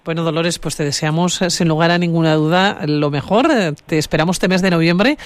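A woman's voice: lowish voice, fairly quick. A woman hosting a radio interview program, encouraging guests with frequent brief acknowledgements. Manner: friendly, encouraging, frequent "ujú" backchannels. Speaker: radio host.

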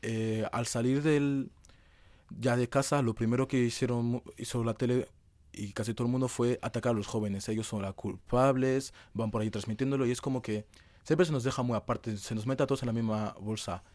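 A man's voice: mid-range voice, steady tone, brisk, a little mellow, no echo. Young man from being interviewed. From Madrid, Spain. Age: young adult. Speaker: interview guest, young dancer. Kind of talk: conversational interview answers.

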